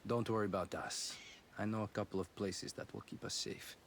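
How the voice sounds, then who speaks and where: An actor playing a calm, reassuring resistance contact in a video game, speaking without hurry. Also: male voice, fairly low pitch, a little fast, slight background noise, close to an everyday voice. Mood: steady, wry. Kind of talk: cinematic game acting.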